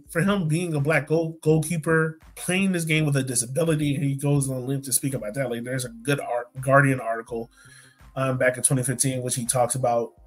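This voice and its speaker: An American man co-hosting a casual sports podcast, talking colloquially and emphatically, repeating points for effect. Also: mid-range voice. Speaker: podcast co-host.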